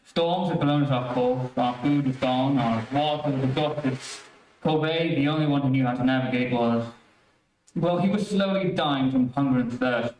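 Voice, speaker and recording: A man's voice: mid-range voice, moderate pace, darkish tone, close to an everyday voice. A man playing an earnest, reasoning doctor in an old-time radio mystery, explaining things carefully. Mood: grave. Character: earnest, reasoning male doctor, a former escaped convict. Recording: roomy, distant amateur stream audio.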